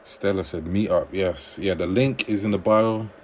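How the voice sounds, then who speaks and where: A man hosting a livestream on dating advice, reading viewer comments aloud and answering them in a relaxed conversational way. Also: low-pitched, moderate pace, a little hiss or hum.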